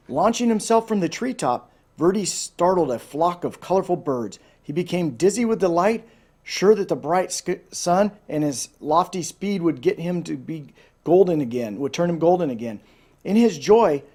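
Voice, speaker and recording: A man reading a children's story aloud in an expressive storytelling style, with casual asides to listeners. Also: on the high side. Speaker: storybook reader.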